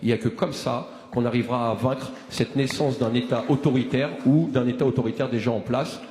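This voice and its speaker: A man's voice: medium pitch, fairly even intonation, fairly quick, a little mellow, in a large hall, a little hiss or hum. A man in his forties giving an impassioned, rousing address to a movement, full of restarts and rhetorical questions. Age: 40s. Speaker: activist speaker.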